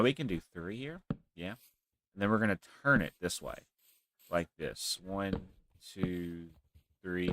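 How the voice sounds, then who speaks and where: Deep-voiced man, stuffy with a cold. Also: slight background noise. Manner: rambling, thinks out loud while building. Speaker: gaming channel host. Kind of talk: casual gameplay commentary.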